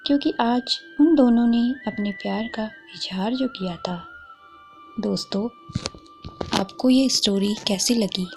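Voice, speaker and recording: A woman's voice: a little high, dark tone. A woman storyteller reading a scripted romantic story. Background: faint music.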